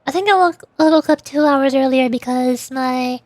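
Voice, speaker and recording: A woman with a very high voice talks casually to her livestream audience during a game. She is playful and giggly, fond of light teasing. The sound is dry and close, with very little room in it. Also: moderate pace, a little mellow, dry recording. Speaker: VTuber livestream host. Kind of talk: casual chat with stream viewers while playing a game.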